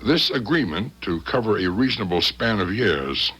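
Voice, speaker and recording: Bright, husky blustering small-town lawyer. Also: male voice, on the low side, sing-song, heavily acted. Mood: challenging, flustered. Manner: lawyerly, formal, halting. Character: blustering, protective small-town mayor and attorney. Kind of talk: 1970s radio drama acting.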